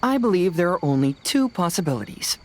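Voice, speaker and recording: An actor playing a confident, fearless young male detective in a video game, reasoning in a measured, analytical way. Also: high voice, very animated, background noise. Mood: reasoning, assured. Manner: measured, reasoning, self-assured.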